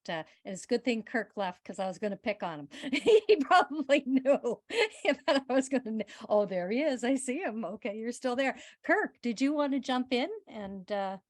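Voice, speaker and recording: A woman's voice: mid-range voice, no echo, studio quality. A woman co-hosting an online session, playful and teasing as she invites people in.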